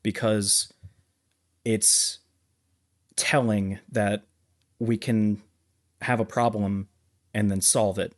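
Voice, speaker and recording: A man's voice: fairly low pitch, little pitch movement, takes it slowly, pauses often. A man co-hosting a podcast, discussing film in a casual, explanatory way. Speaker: podcast co-host.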